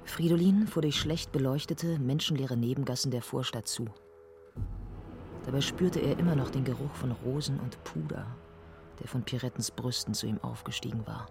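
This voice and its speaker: A man's voice: mid-range voice, moderate pace, gravelly, noisy background. A man reading literary narration in a radio play.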